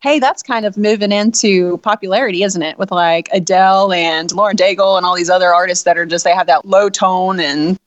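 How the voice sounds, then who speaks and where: A woman who fronts a band, with a low voice, answering interview questions candidly and reflectively. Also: steady tone, fairly quick, few pauses, no room sound, muffled recording.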